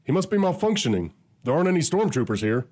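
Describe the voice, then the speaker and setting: A man plays a cocky smuggler rogue in a sci-fi audio drama. He is brash and dismissive, with lively, swinging intonation. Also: fairly high pitch, quick pace.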